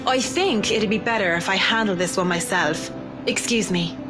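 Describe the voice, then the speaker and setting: A woman's voice: medium pitch, moderate pace, close to an everyday voice. An actress playing a poised, professional female interior designer, composed and conversational in visual novel game dialogue. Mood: businesslike. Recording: music behind the voice.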